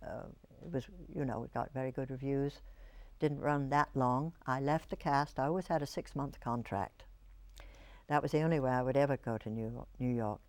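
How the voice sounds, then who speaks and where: Older actress, reflective storyteller. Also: female voice, very deep voice, moderate pace, darkish tone. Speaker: actress, interview guest. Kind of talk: reminiscing interview answers.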